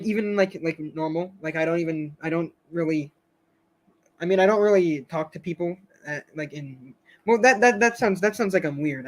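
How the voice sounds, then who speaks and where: A man with a somewhat high voice hosts a casual live stream. He rambles and hesitates, restarting his sentences and filling the gaps with fillers. His voice is getting a little hoarse. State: slightly hoarse, losing his voice.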